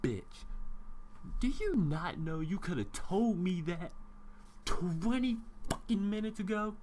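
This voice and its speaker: A man's voice: high voice, big pitch swings, slow pace, darkish tone, slight background noise. A young adult man telling a personal story casually and bluntly, slipping into bits of acted dialogue with slangy swearing. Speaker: YouTube storyteller.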